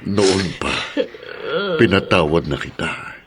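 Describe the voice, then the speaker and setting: A man's voice: low-pitched, takes it slowly, a crowd in the background. A Filipino man playing a protective, forgiving older father and grandfather in an earnest radio drama. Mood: consoling.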